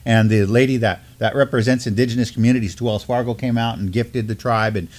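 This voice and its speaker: A man's voice: mid-range voice, steady tone, fast talker, a little mellow. Middle-aged man discussing news informally. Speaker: news show co-host. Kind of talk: news commentary, reading and discussing articles. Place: indoor studio at a desk.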